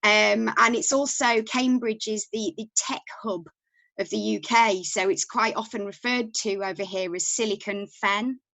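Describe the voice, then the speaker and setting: A woman's voice: mid-range voice, unhurried, vocal fry, fairly bright tone, no room sound, low-quality recording. A woman with a Yorkshire accent, chatting as a guest on a podcast over a remote call. She talks casually, with frequent ums and small restarts. Her voice sits in a middle register, and the recording is dry and close, with no room sound. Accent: Yorkshire English. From Yorkshire, England. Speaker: podcast guest, company founder. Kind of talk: casual interview talk.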